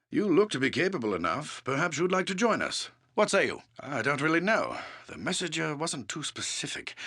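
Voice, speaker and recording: A seasoned adventurer, richly animated. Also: male voice, mid-range voice, full character voice. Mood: earnest, persuading. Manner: declamatory, old-fashioned formal speech. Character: seasoned, semi-retired adventurer and town leader, commanding but amiable. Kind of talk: fantasy video game character dialogue.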